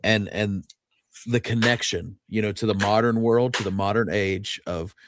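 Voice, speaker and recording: A man's voice: fairly low pitch, steady tone, moderate pace, noisy background. A man hosting a show in a casual livestream chat style. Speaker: show host.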